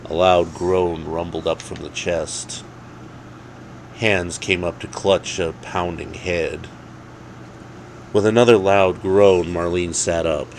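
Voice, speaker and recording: Deep-voiced, unhurried male storyteller. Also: fairly even intonation, slight background noise, poor audio. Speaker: storyteller, narrator. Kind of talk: dramatic story narration.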